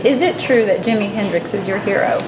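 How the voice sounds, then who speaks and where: A woman from Texas interviewing a musician, friendly and quick to laugh. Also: mid-range voice, rough recording. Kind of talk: casual interview questions. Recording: crowd noise behind.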